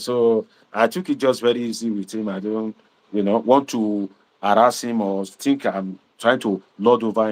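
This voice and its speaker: Animated Nigerian man livestreaming. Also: fairly high pitch. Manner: animated, preachy, mixes Pidgin asides. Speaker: livestream host and political commentator. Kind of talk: livestream commentary to viewers.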